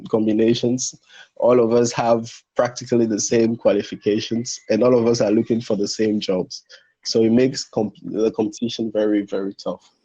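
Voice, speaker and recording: A young man, a French speaker, answering interview questions in a hesitant way with many restarts. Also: dark tone. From Benin. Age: young adult. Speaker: translation student guest. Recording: muffled low-fidelity audio.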